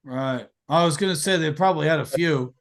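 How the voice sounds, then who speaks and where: An American man in his forties hosting a podcast, telling stories in a casual, animated way, swearing freely and stumbling over repeated words. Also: on the high side, fairly quick. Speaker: podcast host. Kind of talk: casual storytelling chat.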